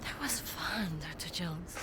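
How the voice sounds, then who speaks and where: A woman's voice: lowish voice, measured pace, heavy background noise, lightly acted. A woman playing a spirited, inquisitive young investigator in a video game, music behind her. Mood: warm, teasing. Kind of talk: cinematic video game acting.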